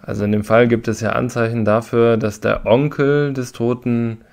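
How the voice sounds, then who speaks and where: A man's voice: medium pitch, fairly even intonation, normal speed, vocal fry, a little mellow. A man giving methodical legal explanations in long sentences as an interview guest. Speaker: lawyer, expert guest.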